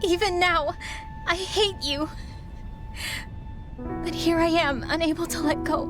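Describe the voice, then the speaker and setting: A high, youthful girl mage in a game cutscene. She is fierce, earnest and resolute, pressing each line with emphasis over loud music. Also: steady tone, measured pace. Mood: bitter resolve. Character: fierce, resolute young girl mage, wronged but principled. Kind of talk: video game cutscene acting.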